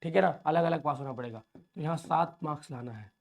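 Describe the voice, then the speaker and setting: A man with a sore, hoarse throat, walking students through an exam paper and calling them children. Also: on the high side, quick pace, darkish tone. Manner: addresses viewers as children, reassuring. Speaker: teacher. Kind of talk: exam overview lesson.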